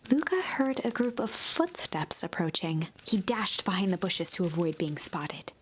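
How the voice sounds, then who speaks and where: A woman performing measured storybook narration for a mystery video game. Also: lowish voice, very animated, a little hiss or hum.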